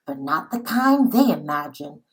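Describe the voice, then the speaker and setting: A woman voicing a brave, determined enslaved man in a storybook, with a resolute, measured manner. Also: sits low, very animated, rough recording. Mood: wry.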